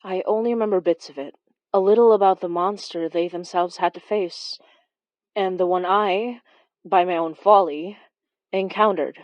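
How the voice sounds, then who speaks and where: A woman's voice: lowish voice, steady tone, normal speed, a little mellow, dry recording, lightly acted. A woman playing a wry young woman who recounts a childhood monster chase in a horror audio drama. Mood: wry, reflective. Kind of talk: first-person horror storytelling in audio drama.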